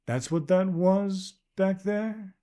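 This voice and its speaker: A man voicing a polite, nervous young man who speaks haltingly, in a storybook reading. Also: sits high, dark tone, pitched above the performer's own voice. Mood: uneasy. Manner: halting, filler-heavy, unsure.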